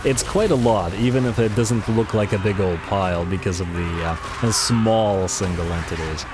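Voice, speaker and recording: Slightly raspy man, low-pitched game commentary. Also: normal speed, noisy background. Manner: relaxed, chatty, frequent fillers and repeated words. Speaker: let's play commentator. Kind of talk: casual gameplay commentary.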